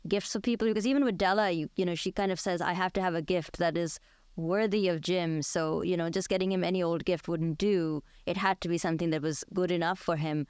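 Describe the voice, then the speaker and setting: Smooth-voiced show host. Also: medium pitch, steady tone, brisk, no echo, studio quality. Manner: thoughtful, conversational. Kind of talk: radio discussion.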